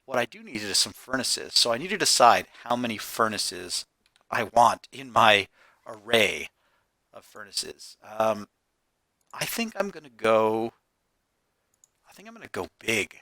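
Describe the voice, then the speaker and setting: A man hosting a game video, a bit hoarse from a cold, chatting and thinking aloud as he builds. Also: on the low side, very animated, measured pace, long pauses, bright, forceful tone, dry recording. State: hoarse, getting over a cold. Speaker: gaming channel host. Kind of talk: casual Let's Play narration.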